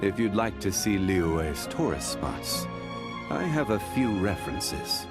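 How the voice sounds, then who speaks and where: A man voicing a composed, wistful gentleman in a video game, speaking in a measured, solemn way. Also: lowish voice, big pitch swings, heavily acted. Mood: calm, wistful. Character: composed, wistful gentleman, old soul in adult body. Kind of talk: scripted video game voice acting. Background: loud game music.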